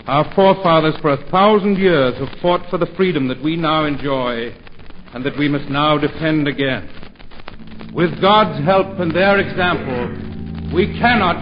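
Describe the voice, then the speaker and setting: A man's voice: on the high side, slight background noise. A man delivering a solemn wartime sermon in a 1940s radio drama. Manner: solemn, slow. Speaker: vicar giving a sermon. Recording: dull 1940s radio broadcast.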